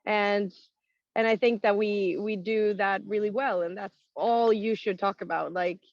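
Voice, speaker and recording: A woman from Sweden, a rock singer with a naturally raspy voice, now extra hoarse from touring, talking frankly in a casual interview with frequent laughs and restarts. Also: mid-range voice, fairly even intonation, a little slow, no room sound, highs cut off. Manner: frank, laughs often, many fillers and restarts.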